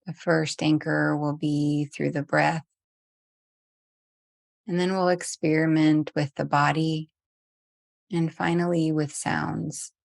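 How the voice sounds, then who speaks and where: A woman guides a meditation in a lowish, softly even voice with little rise and fall. Her phrases are gentle and spaced by long pauses. The sound is very dry and close, without room echo. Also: gravelly. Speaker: meditation teacher.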